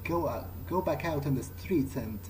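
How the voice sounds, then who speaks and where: A man role-playing through a video game, thinking aloud in character in a low-key, hesitant way. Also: animated, normal speed, mellow, slight background noise, rough recording. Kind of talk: in-character musing during gameplay. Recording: music behind the voice.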